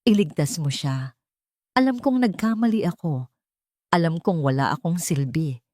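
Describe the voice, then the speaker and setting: A woman's voice: low-pitched, animated, normal speed, warm tone, dry recording, clean studio sound. A woman narrator voicing a remorseful army commander who pleads and blames himself, in an audio story. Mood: remorseful, begging. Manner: pleading, self-reproaching.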